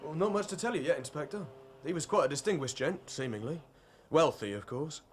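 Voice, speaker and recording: An actor playing an officious, plain-spoken police constable in a period detective drama. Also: male voice, on the high side, expressive, a little fast, a little hiss or hum, lightly acted. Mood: matter-of-fact. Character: officious male police constable, deferential to his superior.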